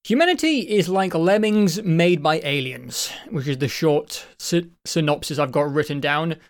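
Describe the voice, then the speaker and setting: A man co-hosting a casual podcast about games, his voice croaky because his throat won't clear, rambling along with restarts and the odd swear. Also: a little high, animated, normal speed. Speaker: podcast co-host. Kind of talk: casual chat.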